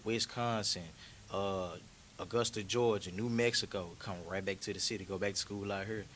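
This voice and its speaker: Laid-back young man, low voice. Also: steady tone, moderate pace, fairly bright tone, slight background noise. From Memphis, Tennessee. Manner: laid-back, slangy, storytelling with frequent "you feel me". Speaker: rapper guest. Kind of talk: casual interview chat.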